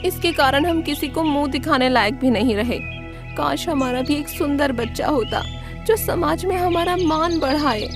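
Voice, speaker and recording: Lady doing a scornful cartoon swan mom, high pitched, scolding, music under. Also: normal speed. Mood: complaining. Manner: complaining, scolding. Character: scornful, harsh mother swan. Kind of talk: animated moral story dialogue.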